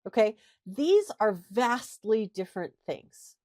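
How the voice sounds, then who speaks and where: A woman's voice: on the high side, very animated, takes it slowly, darkish tone, no echo, studio quality. A woman co-hosting a podcast, explaining her points at length and often checking in with "right?" and "okay?". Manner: explanatory, frequent "right?" tag questions. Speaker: podcast co-host. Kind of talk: casual podcast discussion.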